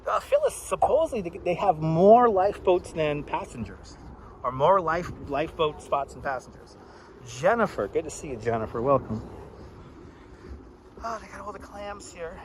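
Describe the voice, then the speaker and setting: Man, live-stream host, loud voice, chatty, outdoors, city traffic and ambience clearly behind. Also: a little high, big pitch swings, moderate pace. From Puerto Rico. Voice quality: naturally loud voice. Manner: chatty, greets viewers by name. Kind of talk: casual walking live stream. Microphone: wireless clip-on mic.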